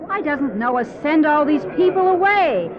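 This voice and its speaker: An actress playing a scornful, skeptical sister, incredulous and emphatic, in a Bible radio drama. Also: female voice, high voice, animated, a little slow. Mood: scornful doubt. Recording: muffled old tape, crowd behind.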